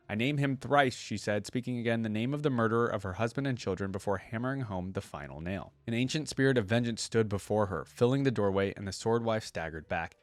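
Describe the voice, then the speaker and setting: A man with a fairly low voice hosts a reaction video. He moves between informal, halting commentary and reading a story aloud. The sound is dry, with very little room in it. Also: no room sound.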